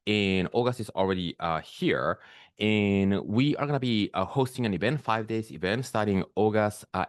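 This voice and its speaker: A man from Peru, a spiritual business coach talking slowly and reflectively to camera, pausing often with fillers. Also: on the low side, no room sound, clean studio sound. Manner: slow, reflective, with frequent pauses and fillers. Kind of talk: solo talk to camera.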